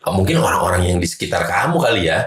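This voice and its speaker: A man's voice: deep voice, big pitch swings, fast, fairly bright tone, roomy sound. A man hosting a casual podcast, asking questions in a teasing, jokey way. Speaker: podcast host.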